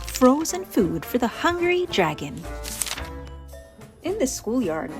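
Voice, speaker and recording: Female children's read-aloud host, slightly high voice, unhurried, playful emphasis, music underneath. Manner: playful, exaggerated emphasis on descriptive words. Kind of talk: animated children's storybook narration. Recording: music playing behind the voice.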